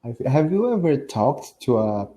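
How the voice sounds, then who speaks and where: Slow Brazilian man with a dark voice. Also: mid-range voice, big pitch swings, slight background noise. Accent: Brazilian Portuguese. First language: Portuguese. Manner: hesitant, restarts phrases, laughs often. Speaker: English learner. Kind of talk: casual online lesson chat. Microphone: video call.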